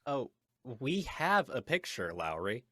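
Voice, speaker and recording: A man's voice: mid-range voice, very animated, slow, a bit bright, dry recording, clean studio sound, full character voice. A man playing an offhand but firm newspaper boss in an old-time radio drama. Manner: offhand, authoritative.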